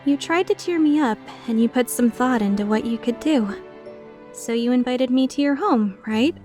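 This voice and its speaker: A woman playing an earnest, timid, tender-hearted young woman in a dubbed visual novel, speaking in a measured way. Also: fairly high pitch, mellow, faint music behind, lightly acted. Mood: sincere. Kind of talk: dubbed visual novel acting with inner narration.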